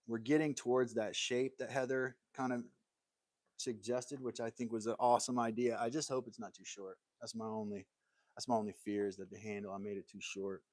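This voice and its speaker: A man's voice: medium pitch, little pitch movement, fairly quick, darkish tone, dry recording. A man hosting a casual woodworking livestream from his workshop, talking in an easygoing, rambling way and answering viewer comments. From United States.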